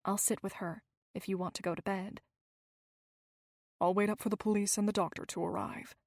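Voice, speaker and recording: A woman voicing a compassionate young woman who speaks gently and reassuringly. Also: medium pitch, fairly even intonation, normal speed, dry recording, clean studio sound, natural voice. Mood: quiet.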